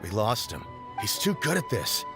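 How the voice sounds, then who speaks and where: A low-voiced dutiful scout. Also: male voice, very animated, moderate pace, music in the background. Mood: earnest. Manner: earnest, methodical reporting. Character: dutiful male subordinate scout. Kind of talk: game dialogue.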